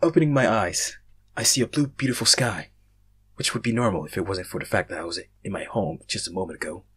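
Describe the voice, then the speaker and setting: A young man reading fan fiction aloud, casual in his chat and theatrical in his narration, swinging between hushed and loud. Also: lowish voice, fast, slightly rough. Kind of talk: casual intro and dramatic story narration.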